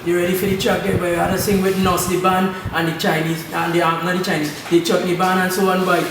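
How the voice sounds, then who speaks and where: A man's voice: sits high, level tone, quick pace, noisy background. A man with a Trinidadian accent voicing a laid-back, cocky singer puppet with casual patter. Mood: relaxed, cool. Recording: echoey, distant mic, loud music.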